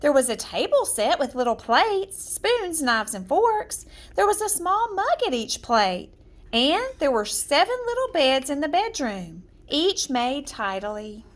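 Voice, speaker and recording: Slow, high-voiced woman reading a fairy tale. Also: sing-song. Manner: measured, dramatic, deliberate phrasing. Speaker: storyteller reading aloud. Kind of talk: expressive children's fairy-tale narration.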